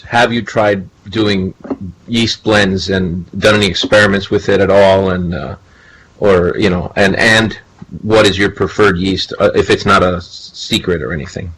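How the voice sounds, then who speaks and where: A man with a low voice hosts a podcast and asks questions haltingly, with many "uh"s and "um"s. Faint noise sits behind him. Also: fairly bright tone, rough recording. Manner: hesitant, many fillers. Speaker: podcast host. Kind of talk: casual podcast interview.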